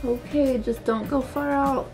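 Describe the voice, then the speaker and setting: A woman's voice: high voice, fairly even intonation, a little slow, music in the background. A young adult woman chatting casually and affectionately to a handheld camera, in an informal vlog style. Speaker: vlogger and mother. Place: car, kitchen and backyard at home. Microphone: handheld vlog camera at arm's length.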